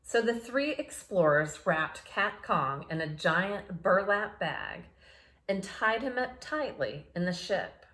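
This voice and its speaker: A woman's voice: mid-range voice, animated, slow, fairly bright tone. A woman reading a children's story aloud dramatically, with chatty asides to her young listeners. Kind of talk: animated read-aloud storytelling.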